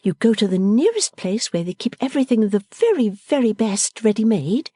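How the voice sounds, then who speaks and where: A woman's voice: a little high, big pitch swings, a little fast, warm tone, slightly rough, no echo, pitched above the performer's own voice. A woman reading the part of a playful, affectionate young woman who teases and orders her father about. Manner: teasing, commanding, merry.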